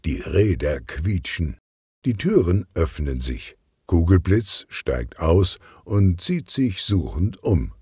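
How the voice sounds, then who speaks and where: Guy reading a kids detective audiobook, deep and mellow, takes his time. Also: very animated, slow, breathy edge, no echo, clean studio sound. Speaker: audiobook narrator. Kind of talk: scripted narration of a children's detective story.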